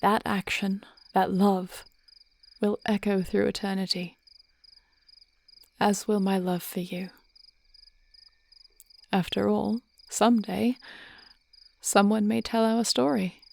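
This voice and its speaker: A regal, thoughtful dragon queen acted by a woman speaks privately to her beloved in a fantasy roleplay. Her voice sits at a middling height with noticeable creak. The phrasing is formal, reflective and tender. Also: medium pitch, fairly even intonation, slow pace, long pauses, darkish tone. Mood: tender, loving. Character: thoughtful, devoted dragon queen wife planning the future. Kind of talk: intimate fantasy audio roleplay acting.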